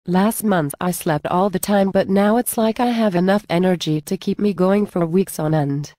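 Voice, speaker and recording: Woman as a manic happy storybook dwarf, chatty, gushing, lowish female pitch, dry sound. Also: moderate pace, mellow, dry recording, lightly acted. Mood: elated. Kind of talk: amateur storybook acting.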